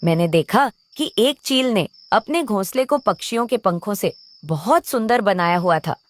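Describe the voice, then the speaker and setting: A woman's voice: medium pitch, animated, moderate pace, no room sound, lightly acted. A woman voicing an irritable, envious female crow in a children's cartoon, complaining and coaxing. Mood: coveting.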